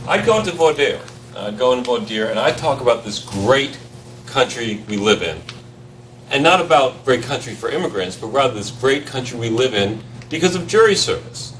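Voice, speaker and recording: A man with a foreign accent teaching a seminar on trial practice, animated and quizzing the audience as he goes. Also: mid-range voice, moderate pace, recorded from a distance, slight background noise, rough recording. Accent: foreign accent, not native English. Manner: animated, repeats himself, addresses the audience with questions. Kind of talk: lively seminar talk to a room.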